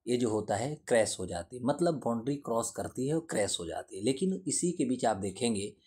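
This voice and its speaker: Mellow man teaching. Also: mid-range voice, animated, brisk, slightly rough. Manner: didactic, repeats and rephrases points. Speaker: teacher explaining current affairs. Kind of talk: explanatory lesson to camera.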